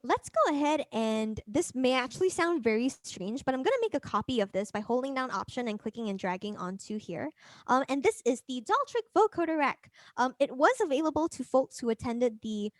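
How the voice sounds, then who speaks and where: A woman presenting a music production workshop as an informal software demonstration, chatting and thinking aloud as she works. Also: sits high, lively intonation, brisk, no echo.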